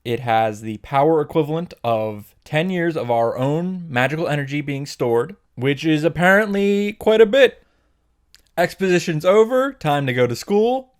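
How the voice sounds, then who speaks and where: A man's voice: fairly high pitch, animated, moderate pace, clean tone. A man giving a comedic, irreverent recap narration as host, sarcastic and sweary, in punchy short sentences. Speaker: host of a visual novel summary series.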